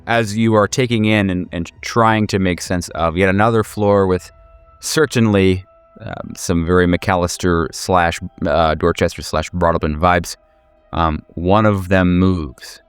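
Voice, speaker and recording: A man working as game master, improvising horror narration in a halting, conversational way that circles back over words. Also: low voice, fairly even intonation, clear, resonant tone, no room sound, soft background music. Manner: halting, searching delivery with repeated words.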